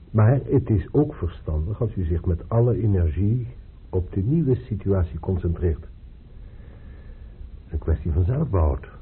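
An actor in a radio drama playing a calm, reasoning hospital doctor, measured, polite and gently instructive. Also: male voice, sits low, husky, exaggerated voice. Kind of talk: Dutch radio drama acting. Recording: muffled, phone-like old radio play recording.

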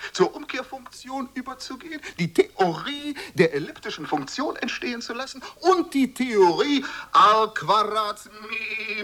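An actress playing a fervent reciter of Latin insect names in experimental music theatre, declaiming with exaggerated stresses and savoring each syllable. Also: female voice, lowish voice, bright, forceful tone, exaggerated voice.

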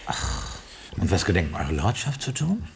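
A man playing a loyal butler in his forties in a radio play, formal, deferential and deadpan, with a husky voice. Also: low voice, normal speed, slight background noise, exaggerated voice. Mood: deferential, discreet. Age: 40s. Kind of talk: German radio play acting and narration.

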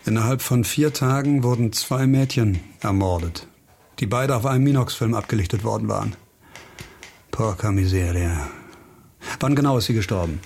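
An actor playing a brooding, driven amateur investigator in a radio crime drama, terse and intense with hesitant pauses. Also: male voice, fairly low pitch, vocal fry, a little mellow, a little hiss or hum. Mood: grim, probing. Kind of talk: radio crime drama acting with inner monologue. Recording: music behind the voice.

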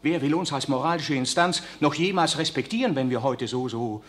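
A young priest, impassioned, declamatory, radio play, faint music behind. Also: male voice, a little high, animated, brisk, fairly bright tone. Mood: anguished. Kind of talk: 1960s radio drama acting.

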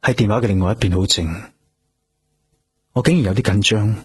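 An actor with a Hong Kong Cantonese accent playing an earnest, introspective police detective in a radio drama. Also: male voice, lowish voice, a little fast, natural voice. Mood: tender, nervous. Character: earnest, driven male police detective in love. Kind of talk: radio drama acting with inner narration.

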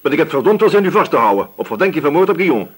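An actor playing a stern, relentless, sardonic police inspector who questions insistently in a radio play. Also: male voice, a little high, very animated, rapid-fire, slightly rough. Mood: accusing, forceful. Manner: probing, insistent, threatening. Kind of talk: Dutch radio play dialogue.

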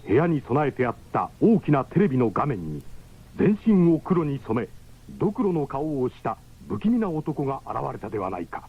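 A man's voice: mid-range voice, big pitch swings, moderate pace, husky. Theatrical male radio-drama narrator. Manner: exclaiming, theatrical. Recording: dull lo-fi radio recording with music.

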